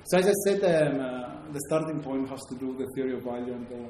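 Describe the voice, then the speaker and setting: A man with a Greek accent lectures to an audience, pausing often on fillers and restarting his sentences. His voice is mellow and dark. It rings out in a very echoey room over a faint hum of background noise. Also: mid-range voice, steady tone. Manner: hesitant, many fillers and restarts. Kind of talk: academic talk to a live audience.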